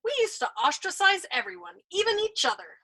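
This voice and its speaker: A woman voicing a smug, pudgy young male airman who boasts loudly. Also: very high-pitched, big pitch swings, brisk, pitched above the performer's own voice, heavily acted. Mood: nostalgic.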